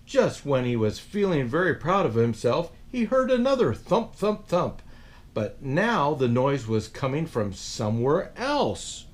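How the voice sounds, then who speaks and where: A man reading a picture book to children, with a voice that sits a little high and swings widely in pitch. He reads in an animated storytime way and stops now and then for playful asides to his young listeners. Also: unhurried. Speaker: storyteller in a Santa persona.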